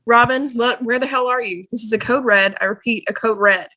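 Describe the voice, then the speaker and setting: Casting: woman as exasperated teen boy, urgent and insistent, bright forceful high voice, dry sound over music. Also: a little fast, no room sound, low-quality recording. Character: frantic, exasperated young teenage boy. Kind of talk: fan table read acting. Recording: dry video-call audio, music underneath.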